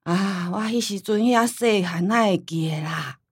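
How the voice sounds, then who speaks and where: A woman playing a forgetful, homely old grandmother in a radio drama, rambling and sighing with a Taiwanese accent and drawn-out sentence endings. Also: mid-range voice, breathy edge, dry recording, studio quality. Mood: wistful, rambling.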